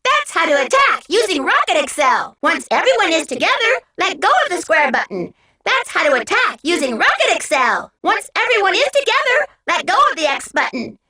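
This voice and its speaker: A woman's voice: very high-pitched, sing-song, normal speed, pushed and bright, heavily acted. A woman voicing a small, cheerful, childlike robot helper who gives eager, encouraging tutorial instructions in a video game. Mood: cheerful, instructive. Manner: peppy, encouraging, clearly instructive.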